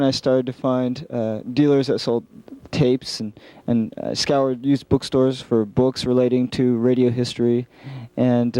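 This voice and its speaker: Man, middle-aged, American accent, mellow tone, earnest halting delivery, unscripted interview, dry recording. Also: medium pitch, clean tone, dry recording, muffled recording. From San Francisco Bay Area, United States. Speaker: program chairman of an old-time radio club.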